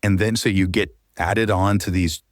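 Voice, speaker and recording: A man's voice: low-pitched, steady tone, normal speed, no room sound, clean studio sound. A man talking casually as a podcast guest, informal and matter-of-fact. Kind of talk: casual conversational podcast talk.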